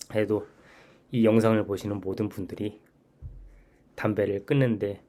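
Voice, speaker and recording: A man's voice: on the low side, a little mellow. A man in his forties talking through his own experience in a reflective monologue, earnest and repeating his key points, often restarting sentences. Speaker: channel host.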